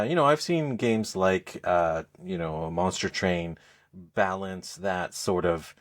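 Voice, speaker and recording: A man co-hosting a video game podcast, talking in a casual conversational style. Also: sits low.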